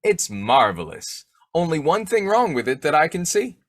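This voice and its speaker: A man's voice: a little high, wildly swooping pitch, normal speed, dry recording, heavily acted. A man acting a snoopy, folksy husband who cracks slangy jokes in an old-time radio comedy. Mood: curious, pleased. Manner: folksy, jokey, slangy delivery.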